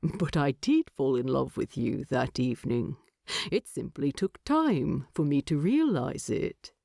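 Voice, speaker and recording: Woman as a low, measured, fond dwarf. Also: big pitch swings, creaky, dry recording, studio quality, heavily acted. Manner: measured, gently wry. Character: steady, fond, dignified male dwarf husband. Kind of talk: audiobook character dialogue.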